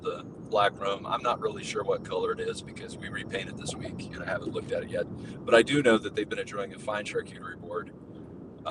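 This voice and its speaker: A man hosts his show from the passenger seat of a moving car, rambling and joking. His voice sits fairly low and is heard from a distance, with steady road rumble underneath. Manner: rambling and jokey. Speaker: host. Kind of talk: casual chat. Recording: distant mic with road noise.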